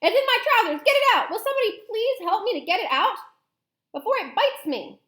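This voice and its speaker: A woman voicing a frantic male kitchen cook from a children's storybook, shouting in panicked exclamations. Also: extremely high pitch, sing-song, a little fast, pitched above the performer's own voice, full character voice. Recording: roomy, echoey sound.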